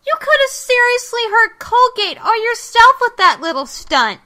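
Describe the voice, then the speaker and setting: A woman's voice: extremely high pitch, very animated, normal speed, bright, forceful tone, full character voice. A woman playing a stern, motherly female pony who scolds and lectures in a fan audio drama. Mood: stern, scolding.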